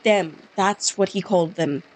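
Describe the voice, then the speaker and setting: A woman's voice: fairly low pitch, measured pace, close to an everyday voice, low-quality recording. A woman reading game dialogue as a curt, dismissive male police officer, using a put-on Scottish accent. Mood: scornful. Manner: curt, scornful, brushes off the witness.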